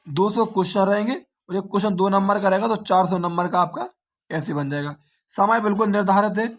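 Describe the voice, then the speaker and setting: A man, an exam coaching teacher explaining details informally and repeating key points as questions and answers. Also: high voice.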